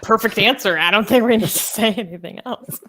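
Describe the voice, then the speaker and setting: A woman's voice: on the high side, brisk, sharp tone, low-quality recording. A young woman co-hosting a live Q&A chat, thinking aloud and hesitant, with many ums. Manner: thoughtful, hesitant, lots of ums and laughs. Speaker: co-host.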